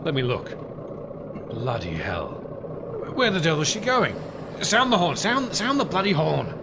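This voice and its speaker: A man's voice: a little high, huge pitch swings, measured pace, very noisy, full character voice. A man playing a blunt, alarmed ship's captain giving urgent orders in a radio play. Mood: alarmed, shouting.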